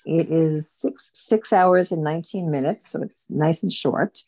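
A woman's voice: sits low, unhurried, warm tone, husky, no echo. A woman hosting a book group in a casual, conversational way.

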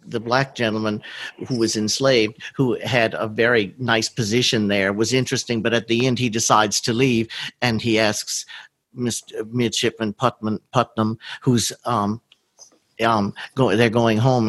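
A man's voice: fairly low pitch, a bit bright, no echo. A man explaining his views articulately in a casual book discussion. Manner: articulate, explanatory, full sentences.

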